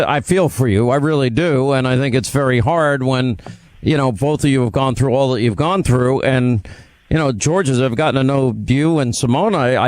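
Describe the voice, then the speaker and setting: A man's voice: medium pitch, no echo. Emphatic male talk host, dry sound. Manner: emphatic, persuasive, leading questions. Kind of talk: interview talk.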